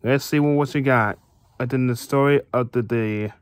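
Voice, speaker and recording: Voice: mid-range voice, moderate pace, clean tone, no echo. A listener reacting casually with brief, repetitive interjections, recorded close on a dry microphone.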